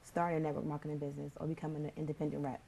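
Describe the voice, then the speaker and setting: A woman hosting a talk show, welcoming her guests and asking them about their backgrounds in a relaxed, conversational way. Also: sits low, rapid-fire, creaky, slightly rough.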